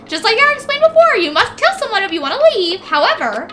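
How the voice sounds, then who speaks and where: A high, squeaky toy bear villain in an anime game drama. The delivery swings wildly, mocking and theatrical, with gleeful cruelty in drawn-out taunts. Music plays underneath. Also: female voice, big pitch swings, sharp tone, full character voice. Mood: manic, mocking. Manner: mocking, theatrical, drawn-out words and chanting laughs.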